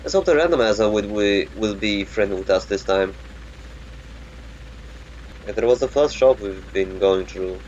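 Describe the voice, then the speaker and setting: A man thinking aloud in a halting, muttering way while playing a video game. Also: fairly low pitch, a little mellow, lo-fi sound, a little hiss or hum. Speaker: gamer. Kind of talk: gameplay commentary. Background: game music.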